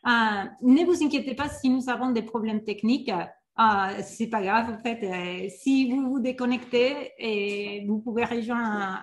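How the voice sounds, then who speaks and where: A woman's voice: fairly high pitch, measured pace. A woman agile coach presenting an online workshop, with many hesitations and restarts.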